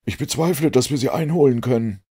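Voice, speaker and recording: Man putting on Jones, high-pitched worried detective. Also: fast talker, mellow, husky, natural voice.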